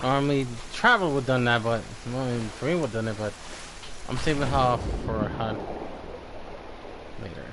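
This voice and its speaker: A man's voice: medium pitch, lively intonation, normal speed, pauses often, noisy background. A man giving casual live gameplay commentary, informally muttering to himself and swearing. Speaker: game streamer.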